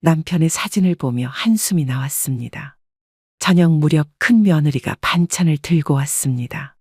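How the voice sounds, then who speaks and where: A woman giving scripted first-person narration in an even, wistful storytelling manner. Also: low-pitched, normal speed, slightly rough, no room sound, studio quality. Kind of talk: scripted audio drama narration.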